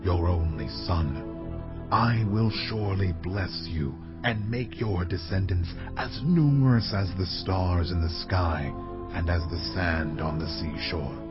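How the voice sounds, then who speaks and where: A majestic angel in an audio Bible drama. He declaims with solemn authority in a very deep voice, stretching the words. Strong music plays behind a dulled recording. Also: takes it slowly, highs cut off. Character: angel of the Lord, majestic authoritative male messenger.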